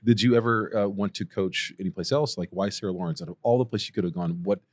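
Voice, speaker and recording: A podcast host, a man with a very deep voice who asks chatty, joking questions. He sounds enthusiastic. The recording is dry and close. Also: quick pace, no room sound. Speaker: podcast interviewer. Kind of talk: casual interview.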